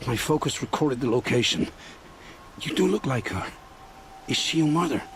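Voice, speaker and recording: A man's voice: mid-range voice, lively intonation, normal speed, fairly bright tone, natural voice. Man acting a fearful informant, noise behind him. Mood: anxious, pleading. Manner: dramatic, fearful, vivid storyteller. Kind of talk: video game cutscene acting.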